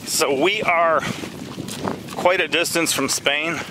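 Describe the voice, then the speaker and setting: A man's voice: on the high side, takes it slowly. A man in his forties vlogging on his farm beside a smokehouse, talking chattily to his viewers. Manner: upbeat, chatty, addresses viewers as guys. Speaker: homesteader vlogger. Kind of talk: casual vlog narration to viewers. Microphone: handheld camera close to face. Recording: loud outdoor noise.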